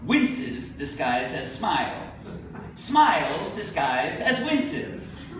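A man's voice: a little high, sing-song, very slow, fairly bright tone. Echoey, distant middle-aged man reading poetry. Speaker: poet. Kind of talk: live poetry reading with spoken asides. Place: indoor venue with a staircase, live reading. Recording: echoey room from a distance, noisy.

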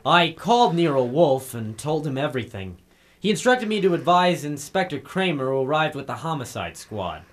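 A man playing a brisk, excitable, wisecracking detective's assistant in old radio drama. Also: on the high side. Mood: wry narration. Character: wisecracking male legman of a private detective. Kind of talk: 1950s radio drama acting. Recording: vintage radio recording with music.

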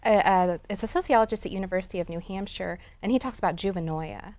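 A woman's voice: medium pitch, fast, darkish tone, dry recording. Hesitant woman guest, thinking aloud. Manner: hesitant, many fillers and restarts. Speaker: guest expert. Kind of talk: studio interview. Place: TV studio.

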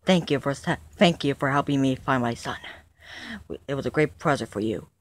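A woman voicing a father dinosaur who speaks softly, slowly and kindly. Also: rumbling low, expressive, pitched below the performer's own voice. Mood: relieved. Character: gentle father dinosaur, grown male.

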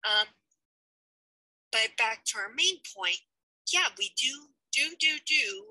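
Very bright-voiced young woman, earnest. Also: high-pitched, dry recording, low-quality recording. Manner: earnest, pleading, rambling. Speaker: channel host. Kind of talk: casual update to viewers. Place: small room indoors. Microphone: headset mic on webcam.

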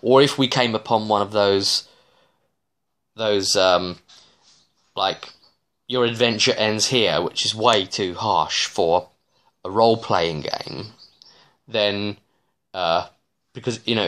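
A man in his thirties giving a casual, rambling recap as a game master, with halting, digressive phrasing and frequent restarts. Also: on the low side, a bit bright. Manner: halting, digressive, often restarting mid-sentence. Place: small living room, indoors.

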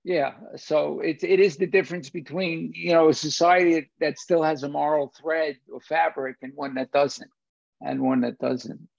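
Older man, high-pitched gravelly voice. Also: steady tone, moderate pace, no echo. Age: older, 60s or 70s. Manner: emphatic, repeats phrases for stress. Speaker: film discussion host and lecturer. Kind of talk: conversational film discussion over video call. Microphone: video call, computer at desk distance. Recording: dull lo-fi video-call audio.

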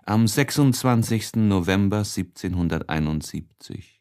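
A man reading literary prose as an audiobook narrator, expressively and with deliberate, measured phrasing. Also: fairly low pitch, lively intonation, darkish tone, clear, resonant tone.

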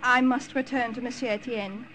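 A woman's voice: fairly high pitch, fairly even intonation, normal speed, close to an everyday voice. A woman acting a devoted young French Resistance member in an old radio drama, earnest and formal, with a French accent. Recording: noisy old radio recording.

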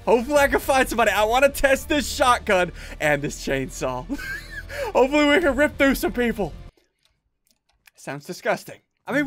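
A young man giving excitable game commentary to his viewers, laughing often, on a headset mic at his desk at home. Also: high-pitched. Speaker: gaming YouTuber.

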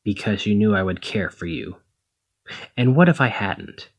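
A man playing a protective, conflicted demigod hero in a one-sided ASMR roleplay, speaking emotively and haltingly to the listener. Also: lowish voice, animated, breathy edge. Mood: resigned, hurt. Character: brawny male demigod hero, protective, conflicted, exasperated lover.

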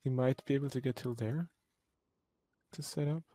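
A man's voice: medium pitch, steady tone, moderate pace, dark tone, no echo. A man chatting casually as a co-op gaming partner, giving matter-of-fact tactical advice. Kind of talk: casual tactical gameplay chat.

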